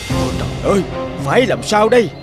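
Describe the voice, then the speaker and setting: An actor playing an imperious, grudging old emperor in a cartoon, given to exclaiming. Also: male voice, sits high, sing-song, fast. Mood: distressed. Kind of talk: animated cartoon voice acting. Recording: loud music under the voice.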